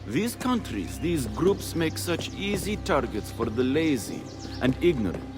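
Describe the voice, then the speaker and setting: A cultured, principled gentleman. He speaks in lofty, articulate reproof with lively intonation. Dramatic music is plainly heard underneath. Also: on the high side, unhurried. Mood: reproving. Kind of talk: dramatic video game cutscene acting.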